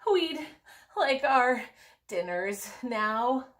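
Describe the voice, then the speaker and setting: Woman doing young princess voices for a storybook, high pitched, dramatic, stretching words out. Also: animated, very slow, a bit bright, heavily acted. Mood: exhausted, pleading.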